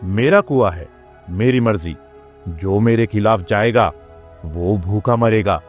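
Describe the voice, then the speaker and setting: A man's voice: on the low side, takes it slowly, soft background music. A man voicing an arrogant, greedy village landlord in a Hindi moral-story cartoon, haughty and demanding. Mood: defiant.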